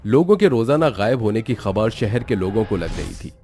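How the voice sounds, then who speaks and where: Lively, low-voiced male horror story narrator. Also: normal speed, slight background noise. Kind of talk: dramatic scripted horror story narration for cartoon.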